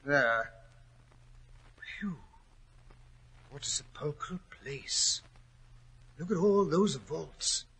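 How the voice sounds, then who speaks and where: A flustered gentleman sidekick in old radio drama. His voice is high and bright, full of stammers and fussy exclamations, over a hissy 1940s recording. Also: expressive, extremely slow, pauses often, heavily acted, rough recording. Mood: grumbling awe.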